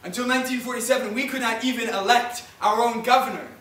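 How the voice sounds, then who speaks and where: A man playing an earnest, proud old Puerto Rican grandfather who deliberately tells his history in a stage play. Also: very high-pitched, fairly even intonation, fairly bright tone. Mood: earnest, resolute. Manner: deliberate, storytelling, slips into Spanish. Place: indoor stage, recorded from far off. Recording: roomy, distant theatre recording, faint noise.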